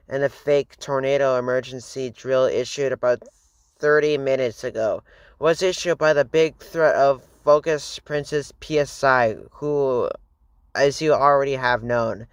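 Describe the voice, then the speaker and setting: A man's voice: mid-range voice, clear, resonant tone, no room sound, lightly acted. A man playing a news anchor, reading a scripted emergency bulletin formally, with small slips. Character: grave male news anchor, formal, measured. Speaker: news broadcaster.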